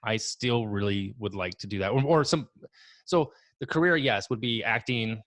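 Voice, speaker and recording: Relaxed rambling man in his forties. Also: fairly low pitch, normal speed, dry recording. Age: 40s. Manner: relaxed, rambling, self-deprecating. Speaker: guest. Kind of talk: casual video call interview. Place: home office.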